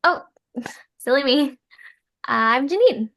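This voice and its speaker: An actress as an eager young woman teaching school. Her voice is high and bright, with a slow, deliberate pace. She sounds earnest and perky, as in a sitcom script reading. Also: exaggerated voice. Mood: helpful. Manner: perky, animated. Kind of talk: script table read.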